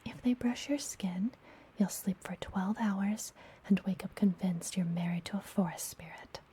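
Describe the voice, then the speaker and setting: A woman playing a friendly, mysterious witch and healer in an ASMR fantasy roleplay, whispering softly and gently with a teasing manner. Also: mid-range voice, slight background noise, natural voice. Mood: welcoming, concerned. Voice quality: soft, breathy whisper. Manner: gentle, slow, playfully teasing.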